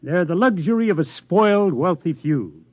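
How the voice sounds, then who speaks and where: A weary older scientist who muses with rueful reflection. His pitch rises and falls in lively sweeps, close and dry. The sound is dull and dated like an old radio broadcast. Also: normal speed, dry recording. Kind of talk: 1950s radio drama acting.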